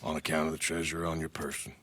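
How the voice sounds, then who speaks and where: An actor playing a middle-aged American Old West outlaw in a video game, folksy, dry and understated. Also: male voice, very deep voice, level tone, quick pace, fairly bright tone, a little hiss or hum. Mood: businesslike. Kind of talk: video game cutscene acting.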